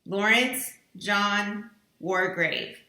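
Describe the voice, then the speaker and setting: A woman reading out a formal list of accusations in a slow, declaiming voice. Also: medium pitch, pushed and bright, reverberant, full character voice. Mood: accusing.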